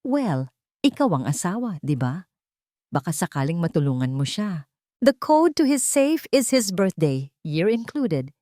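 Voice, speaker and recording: A woman's voice: fairly low pitch, very animated, unhurried, mellow, no room sound, clean studio sound. A woman voicing a scheming young rival in an audio love story, sugary and condescending, sliding between English and Tagalog. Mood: coaxing, sly. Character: scheming, syrupy-sweet young rival woman, venomous underneath.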